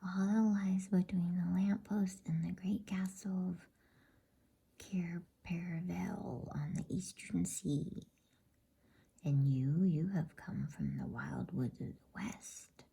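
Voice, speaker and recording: A woman voicing a polite, flustered, inquisitive male faun in a storybook, courteous and hesitant, in a breathy whisper. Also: lowish voice, slow pace, mellow, exaggerated voice. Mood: curious, courteous. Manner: courteous, hesitant, repeats himself. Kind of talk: whispered bedtime storybook reading.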